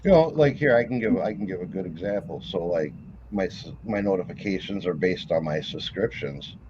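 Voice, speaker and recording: A man co-hosting a livestream in relaxed, rambling casual chat. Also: sits low, brisk, lo-fi sound, background noise. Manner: rambling, laid-back, trailing off. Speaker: co-host.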